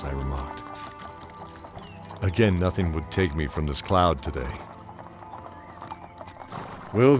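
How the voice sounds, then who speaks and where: An actor playing a weary, wistful old knight who tells his tale in measured, theatrical fantasy audio drama. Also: deep voice, a little mellow. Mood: fond, rueful. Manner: measured, theatrical, chuckling reminiscence. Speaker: narrator and lead character. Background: music under the voice.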